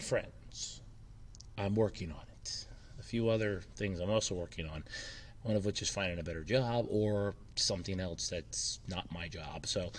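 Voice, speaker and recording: Rambling man with a scratchy cold voice. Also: on the low side. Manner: rambling, informal, with frequent fillers and restarts. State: slightly scratchy, recovering from a cold. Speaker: YouTube channel host. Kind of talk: casual Q&A vlog chat. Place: temporary makeshift room with echo. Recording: echoey temporary room.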